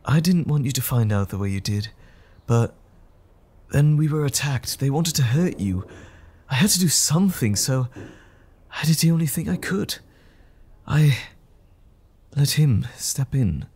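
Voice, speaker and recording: Man acting a guilt-ridden polite teacher, breathy husky voice, lively intonation, halting, earnest, audio drama. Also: medium pitch. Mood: remorseful, earnest. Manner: hesitant, earnest, formal and polite.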